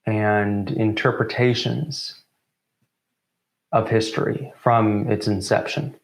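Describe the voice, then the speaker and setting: Young male event host, low-pitched, formal and measured, recorded in a roomy echo. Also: level tone. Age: 20s. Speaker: co-founder of student theatre group introducing an event. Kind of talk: formal welcome speech. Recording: roomy, echoing sound.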